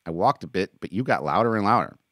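A frustrated army corporal, played by a male narrator speaks with defensive insistence, like a loyal friend who has had enough. The delivery moves up and down in pitch. It is audiobook character work, recorded dry. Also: lively intonation, quick pace, a bit bright, dry recording, full character voice. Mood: calmer. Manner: exasperated, insistent.

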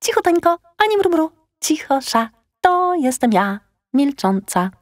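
Very high-pitched, excitable young seal girl. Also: unhurried, dry recording, studio quality. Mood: playfully chatty. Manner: gushing, dramatic, chatty. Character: excitable mischievous young female seal chatterbox. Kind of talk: audiobook character acting.

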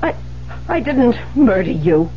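An actress playing an elegant, agitated wife in a 1970s radio drama, theatrical and halting, with stammers. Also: female voice, on the high side, big pitch swings, slight background noise. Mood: terrified. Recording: muffled old radio broadcast, music.

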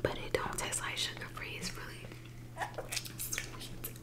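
Young woman whispering ASMR. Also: measured pace. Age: young adult. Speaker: ASMR mukbang host. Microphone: desktop condenser microphone, close.

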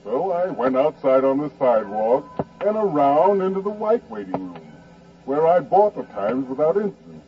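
Muffled old-radio wry American storyteller. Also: male voice, on the high side, lively intonation, moderate pace. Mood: wry amusement. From Kansas, United States. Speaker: narrator and essayist. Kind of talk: 1940s radio dramatized first-person narration. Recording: muffled, distant, noisy old radio broadcast.

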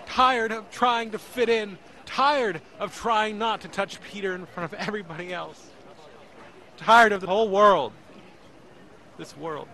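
An actor playing an anxious, emotional young man who narrates his ordeal in an audio drama, intense and halting. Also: high-pitched, bright, forceful tone, heavily acted. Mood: weary, anguished. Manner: intense, halting, repeats words.

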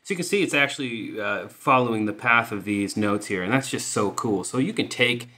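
A man hosting a music software tutorial, explaining informally and thinking aloud as he goes. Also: mid-range voice, a little fast. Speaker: music production tutorial host.

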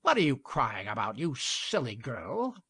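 A man voicing a gruff, stubborn chief woodcutter in a storybook, brusque and dismissive. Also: a little high, lively intonation, measured pace, bright, forceful tone, no echo, clean studio sound, heavily acted. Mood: gruff, scornful. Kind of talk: storybook character acting.

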